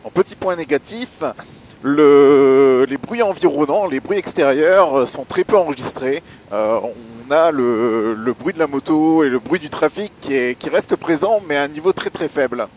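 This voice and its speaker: A man giving a spoken gear review as a motovlogger, explaining things in a measured, hesitant way with pauses. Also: fairly high pitch, lively intonation, a little mellow, slight background noise. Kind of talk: spoken product review while riding.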